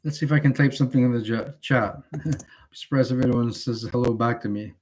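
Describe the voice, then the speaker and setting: A man rambling casually on a livestream, halting and digressive as he thinks aloud while showing his purchases. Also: mid-range voice, slight background noise. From Canada.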